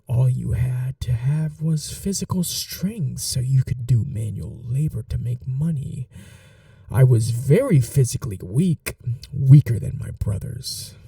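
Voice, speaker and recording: A man voicing a bitter, possessive young male vampire in an ASMR audio roleplay, speaking softly and confessionally and sometimes dropping to a whisper. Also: mid-range voice, gravelly, no room sound, recorded from a distance, full character voice. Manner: slow, soft-spoken, confessional, drops to whispers.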